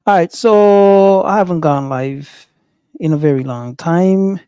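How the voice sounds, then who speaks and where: Guyanese-accented man, livestream host, unhurried, clear and fairly high voice, lots of ums. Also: dark tone, no room sound. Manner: thinking aloud with many fillers and restarts. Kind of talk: casual livestream talk.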